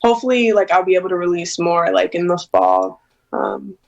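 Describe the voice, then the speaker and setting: A woman's voice: fairly low pitch, very animated, a little fast, vocal fry, highs cut off. A woman in her twenties, a singer and interview guest, talking casually on a video call, hesitant and giggly with many fillers. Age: 20s.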